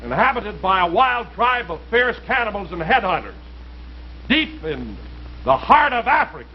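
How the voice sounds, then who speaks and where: High-pitched vintage man announcer, animated. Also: normal speed, a little hiss or hum. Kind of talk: dramatic 1950s show announcing. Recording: dull vintage broadcast, music behind.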